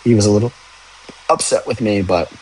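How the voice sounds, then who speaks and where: A man's voice: on the low side, a little fast, a little mellow, slight background noise, rough recording. A young man who works as a police officer, chatting casually and frankly on a livestream, rambling and swearing now and then. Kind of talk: casual livestream chat answering viewers.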